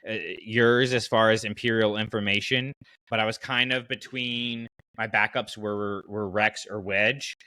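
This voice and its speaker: Male podcast host, slightly low and bright, animated and conversational, dry studio-like sound. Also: no echo. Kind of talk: casual fan podcast discussion.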